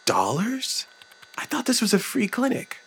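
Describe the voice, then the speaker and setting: A man's voice: high-pitched, huge pitch swings, unhurried, a bit bright, breathy edge, exaggerated voice. A man playing a meek, bewildered everyman in an audio comedy drama, polite, hesitant and pleading. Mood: dismayed.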